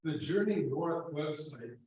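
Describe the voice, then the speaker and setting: Audience man, higher voice, very echoey room, faint noise behind him. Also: expressive, measured pace, a little mellow. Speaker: audience member. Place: church meeting room.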